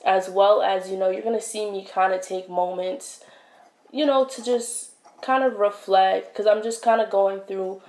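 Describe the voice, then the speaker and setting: A young woman hosting her own podcast, talking in a casual, reflective way with many fillers and pauses, recorded at home on a close mic. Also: medium pitch, steady tone, darkish tone. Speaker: podcast host. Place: indoors, home setup. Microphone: wired podcast mic, close. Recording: echoey room.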